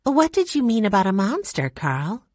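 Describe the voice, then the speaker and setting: A woman's voice: medium pitch, wildly swooping pitch, moderate pace, dry recording, clean studio sound, voice pushed up. A woman voicing a sensible older sister who speaks firmly and directly. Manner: firm, direct.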